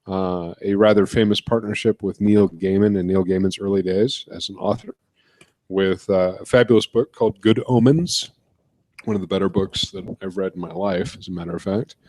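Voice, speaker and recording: A man's voice: lowish voice, a little mellow, dry recording. A man co-hosting a casual podcast, reading out the news in a chatty, meandering way with lots of ums, close on the mic. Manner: chatty, drawn-out with ums and uhs. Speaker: podcast co-host. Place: couch in a home studio. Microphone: close studio microphone.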